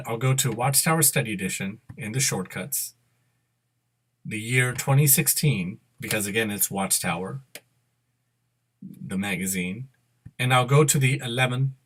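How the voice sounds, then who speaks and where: Middle-aged male presenter for a software tutorial, unscripted step-by-step delivery with self-corrections, mid-range pitch. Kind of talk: step-by-step screen demo narration. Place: indoor room at a computer.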